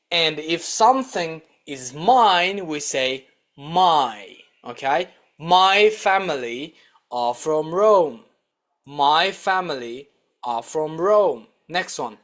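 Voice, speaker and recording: Man, English teacher, somewhat high pitch, slow delivery, deliberate instructional manner, repeated example sentences. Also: a bit bright. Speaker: English teacher, online lesson host. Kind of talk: scripted grammar lesson, read-and-repeat teaching.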